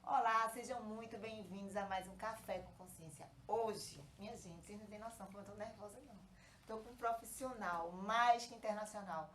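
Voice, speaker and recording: A woman's voice: medium pitch, a little fast. Podcast host, woman, chatty and teasing, roomy sound with faint hiss. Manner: chatty, warm-hearted, teasing. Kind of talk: casual interview chat.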